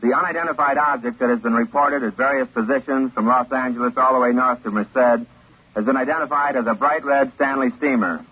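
An actor playing a sober highway patrol announcer reading formal bulletins in a 1950s radio drama, with a husky voice. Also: male voice. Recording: thin, tinny 1950s radio recording.

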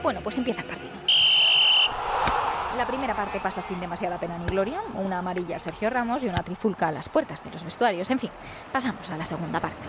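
A woman's voice: medium pitch, expressive, fast, very noisy. A woman with an accent from Spain, presenting scripted sports storytelling. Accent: Spanish, from Spain. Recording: loud music bed. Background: loud music.

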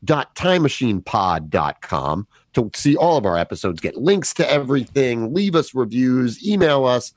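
A man's voice: mid-range voice, sing-song, moderate pace, few pauses, no room sound. A man with a slightly nasal voice co-hosting a podcast, playful and self-deprecating. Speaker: podcast co-host. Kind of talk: joking podcast banter. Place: recording remotely, away from the studio.

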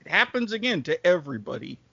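A man's voice: fairly high pitch, very animated, quick pace, vocal fry, bright, forceful tone, breathy edge, muffled recording. A man hosting a comedic podcast with casual banter and a stammering, theatrical showman's delivery. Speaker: podcast host.

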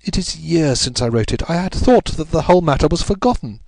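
A man's voice: a little high, animated, darkish tone, dry recording, pitched above the performer's own voice. A man voicing a distraught, pleading upper-class married Englishwoman in a story, breathless and melodramatic. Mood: anguished confession. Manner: breathless, melodramatic, halting repetitions.

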